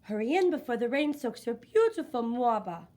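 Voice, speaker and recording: Storybook grandmother, acted by a woman: old village matriarch, caring, brisk and emphatic, pitched up. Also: sing-song, dark tone. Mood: urging.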